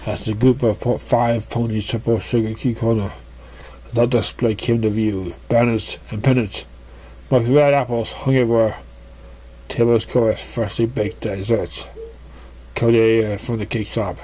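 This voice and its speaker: Mellow-voiced man reading a story haltingly. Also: mid-range voice, steady tone. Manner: halting, stumbling delivery. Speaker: story reader. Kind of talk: amateur narration of a fan fiction story.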